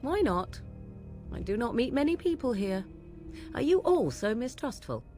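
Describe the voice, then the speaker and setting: A woman's voice: on the high side, sing-song, darkish tone, soft background music. A woman voicing an aloof, mocking young witch who speaks in a haughty, archaic way, in a video game. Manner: haughty, archaic speech, slow and measured.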